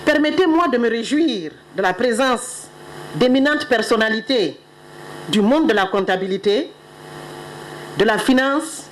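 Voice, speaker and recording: A woman's voice: fairly high pitch, slight background noise, poor audio. Woman giving a stately formal address. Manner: measured, solemn, stately delivery. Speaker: official delegate speaker.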